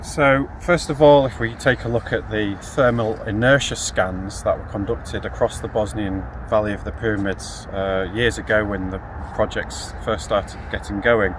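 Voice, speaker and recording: A man's voice: fairly low pitch, steady tone, normal speed, few pauses. A man in his thirties giving a methodical explanation to camera as a field geologist. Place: grassy hillside outdoors. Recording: outdoor recording with faint ambient noise.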